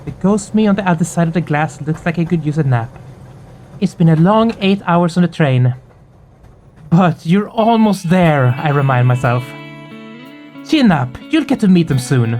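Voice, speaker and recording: Casual, candid game streamer over soft music. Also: mid-range voice, lively intonation, moderate pace. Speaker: host of a visual novel playthrough channel. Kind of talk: casual chat and reactions, reading game text aloud.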